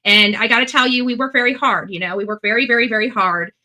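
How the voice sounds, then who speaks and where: A woman's voice: fairly high pitch, fairly even intonation, quick pace, sharp tone. A woman talking on her livestream in a rambling, proud and defensive way, repeating herself often.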